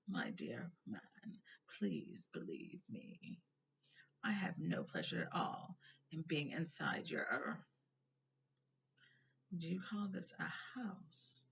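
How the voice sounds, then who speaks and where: A woman voicing a cold, sneering aristocratic man in a storybook, with a smooth, lazy and condescending drawl. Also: low-pitched, very animated, slow, long pauses, a bit bright, exaggerated voice. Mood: smug, sneering. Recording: noisy, dull home recording.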